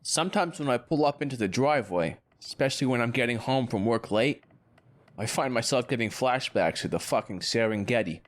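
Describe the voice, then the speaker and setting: A man's voice: medium pitch, expressive, a little fast, close to an everyday voice. A man acting a chipper, needling lawyer in an audio drama, breezy, sarcastic and boastful. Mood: animated, flippant.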